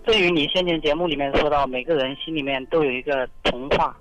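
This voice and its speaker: A man's voice: a little high, steady tone, fast talker, fairly bright tone, poor audio. A man from Shanghai phoning a radio show from outdoors in strong wind, talking hesitantly with many fillers. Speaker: caller. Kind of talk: phone-in conversation.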